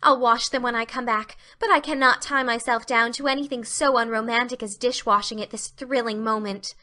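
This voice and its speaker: A woman acts out an excitable young orphan girl in a dramatic audiobook. The voice is fairly high and bright. She is talkative and dreamy, delivering grand phrases with earnest, effusive feeling. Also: moderate pace. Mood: thrilled.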